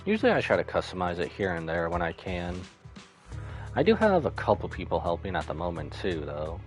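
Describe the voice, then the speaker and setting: A man's voice: low voice, wildly swooping pitch, a little fast. A man livestreaming casually, thinking aloud in a rambling way as he chats over a game. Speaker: live streamer. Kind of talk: casual gaming stream chat and commentary. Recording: game music behind the voice. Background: video game music and dialogue.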